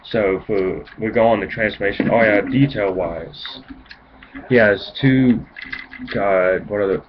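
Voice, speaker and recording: Low-voiced teenage guy with a speech impediment. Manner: hesitant, self-conscious, halting phrases. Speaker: amateur toy reviewer on a video channel. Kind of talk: casual, rambling home video review. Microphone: home video camera. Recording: muffled lo-fi camera mic, clear noise.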